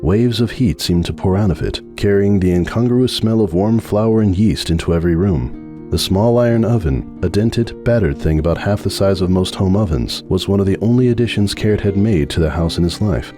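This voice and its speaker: A man giving a dramatic reading of a fan story, narrating in a measured, brooding way. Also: low voice, over music. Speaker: audiobook narrator.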